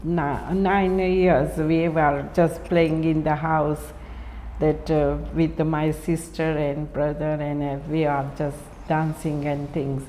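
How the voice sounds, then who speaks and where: A woman's voice: low-pitched, level tone, slow pace, warm tone, a little hiss or hum, poor audio. A woman from Kenya telling her life story in an interview, hesitant, with many fillers and restarts. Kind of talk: personal storytelling.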